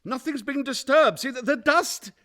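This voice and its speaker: An actor playing a nervous, guilty murderer in a radio play, stammering and repeating himself in an over-eager, ingratiating way. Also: male voice, very high voice, moderate pace, pushed and bright. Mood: rattled, defensive. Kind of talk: theatrical radio play acting.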